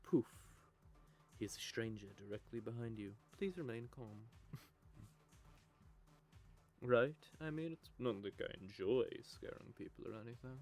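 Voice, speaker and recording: A man's voice: medium pitch, lively intonation, a little slow, long pauses, soft background music, lightly acted. A man playing a bitter, sardonic male vampire who curses and mocks. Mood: flirty. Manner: cursing, mocking, gravelly. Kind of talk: audio drama roleplay.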